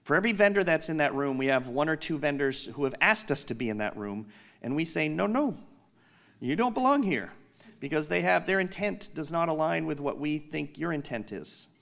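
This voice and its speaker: A man with a loud voice giving an unscripted conference keynote from a stage, candid and self-deprecating with joking asides. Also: fairly high pitch, lively intonation, a little fast, vocal fry. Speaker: company executive giving a keynote.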